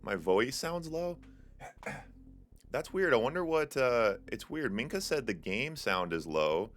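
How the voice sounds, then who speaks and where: A man's voice: medium pitch, sing-song, slow pace. A young man hosting a tournament stream, giving casual play-by-play commentary on a strategy game with lots of fillers and asides to chat. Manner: casual and chatty, many fillers, jokes with chat. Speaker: tournament host and caster. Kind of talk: live esports play-by-play commentary.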